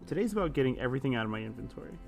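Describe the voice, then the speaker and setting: A man's voice: mid-range voice, big pitch swings, racing, gravelly, loud music behind the voice. A man chatting and bantering casually as a player in a tabletop roleplaying game.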